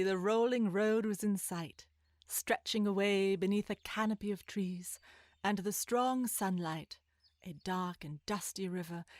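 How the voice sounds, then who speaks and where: A woman narrator reading a scripted children's story in an expressive, measured storytelling manner. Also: mid-range voice, no echo, studio quality. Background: music bed.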